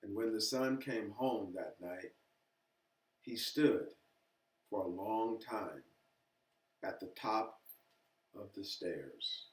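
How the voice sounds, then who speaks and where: A man reading a children's story aloud expressively and deliberately, pausing between short phrases. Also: on the low side. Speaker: storybook reader. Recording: echoey room, distant from mic.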